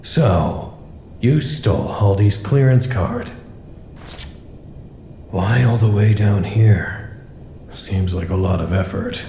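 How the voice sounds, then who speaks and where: A man acting in an audio drama as an anguished, guilt-ridden worker at a doomed secret facility, halting and earnest. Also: fairly low pitch, dark tone, reverberant, a little hiss or hum. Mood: awkward, gentle.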